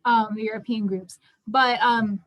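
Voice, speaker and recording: A young woman with a high-pitched voice leads a casual student discussion. She talks conversationally and emphatically, often restating a point to drive it home. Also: fairly even intonation, slow, dull audio. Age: young adult. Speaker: student theatre group discussion leader.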